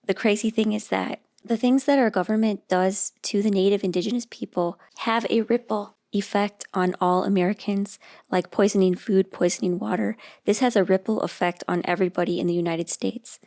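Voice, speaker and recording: A woman narrating a vlog in an earnest, steady way, her voice raspy and hoarse from losing it. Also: medium pitch, fairly quick. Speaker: video host.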